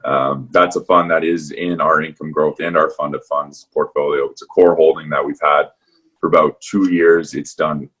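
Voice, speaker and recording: Very deep, husky male call host. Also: fairly even intonation, moderate pace, fairly bright tone, muffled recording. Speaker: portfolio manager hosting the call. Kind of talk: semi-formal conference call hosting. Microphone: conference call.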